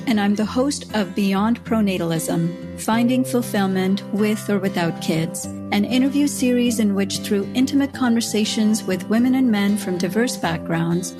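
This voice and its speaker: A woman hosting a podcast, introducing the show and her guest in an earnest, measured way. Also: medium pitch. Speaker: podcast host. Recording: music bed under voice.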